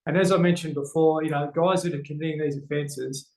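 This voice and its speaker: A man's voice: on the high side, steady tone, fairly quick, a little mellow. Earnest Australian man, echoey room. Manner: earnest, many fillers and restarts. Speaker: guest, men's health educator. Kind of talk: casual interview talk.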